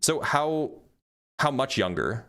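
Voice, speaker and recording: A man's voice: medium pitch, big pitch swings, takes it slowly, a bit bright. A man hosting an online debate, patiently questioning a caller and explaining science with frequent restarts. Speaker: debate host.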